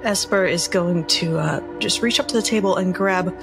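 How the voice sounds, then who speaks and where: A woman's voice: fairly low pitch, normal speed, creaky. A woman playing a tabletop roleplaying game, narrating what her character does as she improvises. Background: background music.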